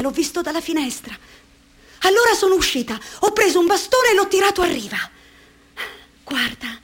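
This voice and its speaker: An actress in a radio drama playing a lonely, dreamy young woman who talks softly and slowly, musing to herself. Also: high-pitched. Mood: gentle. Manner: soft, hushed, slow and musing.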